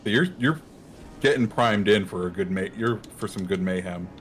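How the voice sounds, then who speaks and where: A man's voice: lowish voice, moderate pace, a bit bright, a little hiss or hum. A man hosting a game livestream, commenting in a laid-back, wry way. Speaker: streamer host. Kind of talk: casual gameplay commentary.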